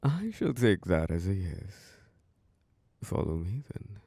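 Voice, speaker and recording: A man voicing an ancient, charming and possessive male vampire lord who hosts a masquerade, speaking in a slow, seductive, close-mic ASMR roleplay with soft chuckles. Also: sing-song, no room sound, exaggerated voice. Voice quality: low gravelly voice. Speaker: castle host.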